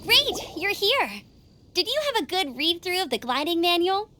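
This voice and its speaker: A woman's voice: extremely high pitch, very animated, moderate pace, sharp tone, full character voice. A woman playing a perky, earnest young female knight in an anime game, chatty and peppy. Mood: confiding.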